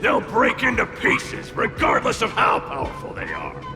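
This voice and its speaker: An actor playing a fiery, brash fighter in a video game, with a gruff, forceful manner. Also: male voice, very high-pitched, huge pitch swings, moderate pace, full character voice. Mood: confident, gruff. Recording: loud music behind.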